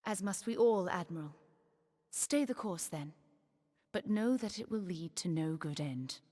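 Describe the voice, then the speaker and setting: An actress playing a calm, principled woman counsellor in a fantasy game, speaking in a composed, formal and grave manner. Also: fairly low pitch, measured pace. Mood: grave warning. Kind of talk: dramatic fantasy game acting. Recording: long hall reverb.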